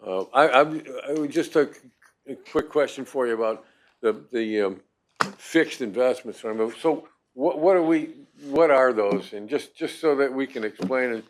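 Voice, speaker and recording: A man making informal remarks at a committee meeting, halting and rambling, often repeating words as he goes. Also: mid-range voice. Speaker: committee member.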